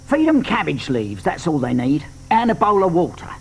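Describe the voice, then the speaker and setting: Man acting polite male shop assistant, raised pitch, swooping intonation, hesitant, deferential, children's audio drama. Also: huge pitch swings, moderate pace, a little hiss or hum. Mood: polite, obliging.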